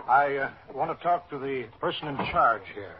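A man's voice: medium pitch, expressive, moderate pace, a crowd in the background, natural voice. An actor playing an indignant, self-important male tourist in an old radio drama, fussy and formal. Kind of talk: 1950s radio drama acting. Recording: muffled old radio recording.

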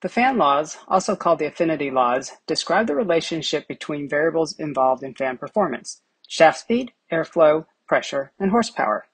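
Woman teaching, breathy close voice. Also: low-pitched, animated, moderate pace, hardly any pauses, dry recording. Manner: clear step-by-step explaining. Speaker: HVAC instructor. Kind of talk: whiteboard lesson narration.

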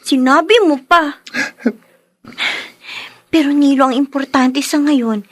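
A young female friend in a radio drama. Her voice is high and closely recorded in a dry space. She sounds sympathetic, supportive and consoling. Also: slow pace, a bit bright, no room sound. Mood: concerned, indignant. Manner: concerned, consoling.

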